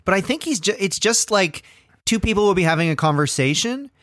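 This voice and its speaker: Bright, lively-pitched podcast co-host. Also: male voice, a little fast, no echo, clean studio sound. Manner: animated, rambling. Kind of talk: casual podcast chat.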